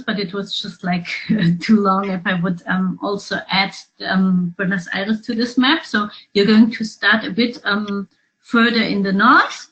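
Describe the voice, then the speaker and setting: German-accented woman tour supplier presenting an itinerary; hesitant, slightly high. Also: a bit bright, dull audio. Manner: hesitant, many fillers.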